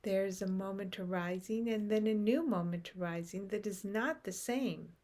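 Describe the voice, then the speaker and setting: A woman leading a guided meditation in short, unhurried phrases with long pauses, softly trailing into breath at the ends. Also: mid-range voice. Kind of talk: guided meditation, unscripted and contemplative.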